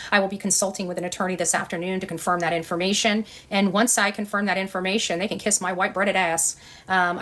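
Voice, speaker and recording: A woman vlogger speaks very quickly in an unscripted address to her followers. Her delivery is emphatic and blunt, with a defiant edge throughout. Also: mid-range voice, fairly bright tone. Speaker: video journalist and online channel creator. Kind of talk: unscripted commentary to camera for followers.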